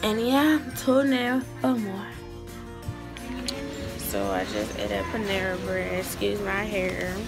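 A young theater student chats into her phone at arm's length, animated and full of slang. Her voice swings widely in pitch, with a gravelly edge of vocal fry, and she takes her time. Music plays behind her. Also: takes it slowly, long pauses. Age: young adult. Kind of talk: casual vlog chat. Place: hotel room and festival venues. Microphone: phone held at arm's length.

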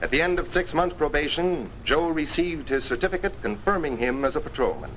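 A man's voice: a little high, animated, fairly bright tone. Earnest man narrating a noisy 1950s film. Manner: formal, earnest, measured storytelling.

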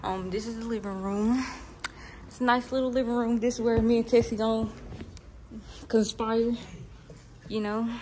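Young woman, slangy, casual vlog chat, slightly high voice, phone recording with clear background noise. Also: little pitch movement, moderate pace, long pauses, darkish tone. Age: young adult. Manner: informal, slangy. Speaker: vlogger. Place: walking through apartment, hallways and parking garage. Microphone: phone at arm's length. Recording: noisy handheld phone recording.

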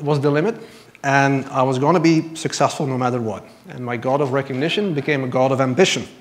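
A man from Belgium in his thirties shares his life story at a church pulpit. He talks earnestly, with a few light laughs at himself, and his voice sits in an ordinary middle range. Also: mid-range voice. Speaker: church member giving testimony. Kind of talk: personal testimony from a pulpit. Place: church stage. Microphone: lapel mic.

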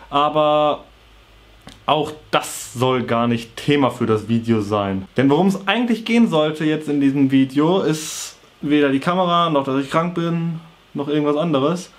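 A young adult man with a cold with a sore throat, talking casually to camera in an informal, slangy, offhand way. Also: on the high side. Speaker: vlogger. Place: small bedroom with computer desk, indoors.

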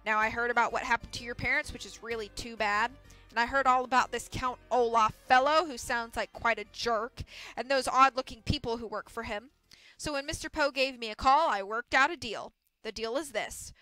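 A blustering storybook mill boss, played by a woman, bright and forceful. He is brusque and bossy, leaning hard on his words and thoroughly pleased with himself. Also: a little high, normal speed, soft background music, low-quality recording. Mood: brisk, pushy. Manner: brusque, loud, emphatic. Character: blustering, bossy mill owner, a self-satisfied man.

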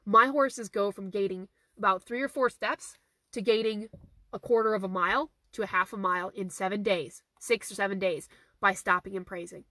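Woman, 30s, horse trainer; hoarse, voice going; phone at arm's length in a car; dry close sound; earnest and repetitive. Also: medium pitch, fairly even intonation, moderate pace, sharp tone, no room sound. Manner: earnest, repeats key points for emphasis. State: hoarse, losing her voice. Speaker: horse trainer hosting a live Q&A. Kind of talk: casual live-stream Q&A, reading viewer questions. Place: inside a parked car. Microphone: phone camera at arm's length.

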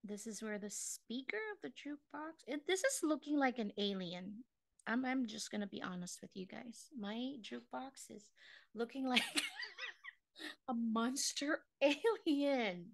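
A slow, easygoing middle-aged woman from the Philippines leads a live online craft class. Her voice sits a little high, and she chats, laughs at herself and hums bits of songs while drawing. She is recorded dry on a close mic. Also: vocal fry, no room sound. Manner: chatty and self-mocking, laughs often, sings snatches of songs while drawing.

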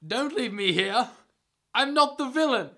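A man playing a desperate, husky-voiced schemer. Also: extremely high pitch, expressive, measured pace, bright, forceful tone, full character voice. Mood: pleading. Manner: indignant, pleading. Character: desperate, self-justifying male schemer pleading his innocence. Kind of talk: fantasy audio drama acting.

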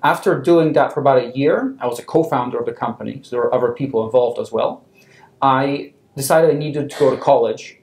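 Thirties man from Poland, fund founder, measured and businesslike, lapel mic in an echoey office. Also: mid-range voice, darkish tone. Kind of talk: interview answers. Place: office with wooden chairs, indoors. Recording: roomy office echo.

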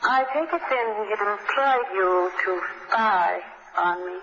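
An actress playing a cool, seductive widow in a noir radio drama, poised and haughty. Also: female voice, medium pitch, unhurried, close to an everyday voice. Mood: cool, guarded. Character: cool, seductive, heartless femme fatale widow. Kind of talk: 1950s radio noir acting. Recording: muffled, roomy 1950s radio recording.

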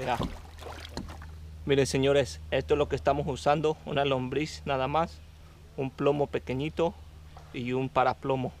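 A man in his thirties vlogging with excitement while fishing from a kayak on a river, switching between Spanish and English. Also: mid-range voice, normal speed, pauses often. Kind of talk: casual vlog narration while fishing. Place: outdoors on a river, wading and kayaking. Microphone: action camera on a kayak. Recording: noisy outdoor river audio.

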